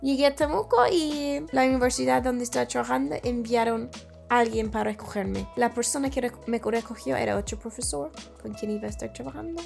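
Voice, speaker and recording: Young irish woman, english first language, fairly high gravelly voice, chats in spanish with a chilean lilt, faint music underneath. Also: brisk, a little mellow. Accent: Chilean-inflected Spanish learner. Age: young adult. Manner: searches for words, restarts, laughs easily. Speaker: interview guest. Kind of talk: casual storytelling.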